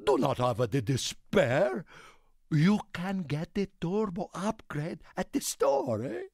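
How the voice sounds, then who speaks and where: A man voicing an excitable, warm-hearted Italian car in a video game, with an Italian accent and a theatrical manner. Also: sits high, takes it slowly, creaky, dry recording, clean studio sound, heavily acted. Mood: friendly, helpful. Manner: theatrical, refers to himself in third person. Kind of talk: animated video game character lines.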